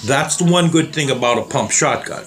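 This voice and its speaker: A slightly hoarse man talking casually and rambling as he tests trigger locks on guns for his viewers. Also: mid-range voice, normal speed, a bit bright. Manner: rambling, plain-spoken, addresses viewers as guys. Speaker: gun reviewer. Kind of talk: casual demo vlog.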